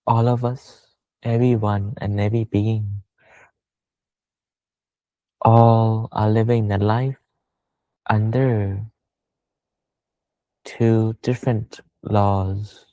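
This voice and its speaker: Low, even-voiced man guiding meditation, slightly muffled. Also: no echo. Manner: slow, measured, pausing between short phrases. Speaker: Buddhist meditation teacher. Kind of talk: guided meditation talk with Buddhist chanting.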